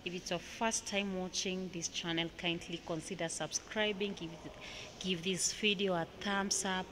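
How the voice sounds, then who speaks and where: A woman's voice: lowish voice, fairly even intonation, moderate pace, background noise. A young Kenyan woman vlogging a museum tour, chatting casually as she points out exhibits on a handheld phone. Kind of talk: casual walking tour commentary. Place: indoor museum halls. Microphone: handheld phone camera.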